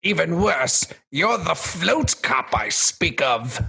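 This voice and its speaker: An actor playing a gloating, theatrical villain king in a radio comedy, taunting and bombastic, with a raspy, creaky voice. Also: a little high, very animated, takes it slowly, bright, forceful tone, faint music behind, full character voice.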